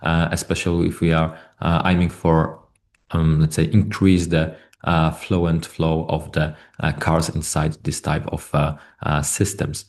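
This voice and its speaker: Man, deep low voice, non-native English, technical webinar presenter, hesitant and halting delivery. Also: completely flat delivery, a little mellow. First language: not English. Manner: halting, with many hesitations and repeated words.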